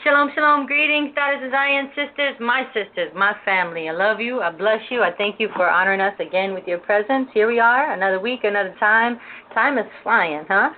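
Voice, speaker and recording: A woman's voice: a little high, a little fast. A woman hosting a religious talk show for women, greeting listeners and giving prayerful thanks with loose fillers. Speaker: host. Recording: noisy, tinny phone-line audio.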